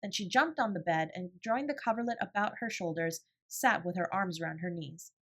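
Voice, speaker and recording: A woman's voice: lowish voice, moderate pace. A woman reading a children's novel as an audiobook narrator, in scripted storytelling style.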